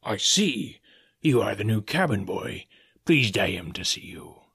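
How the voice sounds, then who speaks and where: A man voicing a hearty, cunning seafaring tavern landlord with a gravelly, husky voice and salty, drawling, emphatic speech, in a storybook reading. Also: on the low side, very animated, measured pace, fairly bright tone, full character voice. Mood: genial. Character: hearty, cunning one-legged sailor tavern landlord, commanding, genial.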